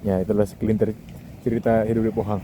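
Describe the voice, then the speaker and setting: A man's voice: lowish voice, little pitch movement, fast talker, noisy background. A young man from Indonesia talks casually in a joking monologue vlog, mixing in English words. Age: young adult. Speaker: vlogger, international student.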